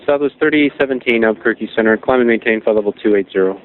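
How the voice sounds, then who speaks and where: A man's voice: mid-range voice, rapid-fire. A man working as an air traffic controller, giving clipped, procedural, matter-of-fact instructions over aviation radio. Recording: noisy narrow-band air traffic radio. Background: radio static and clicks.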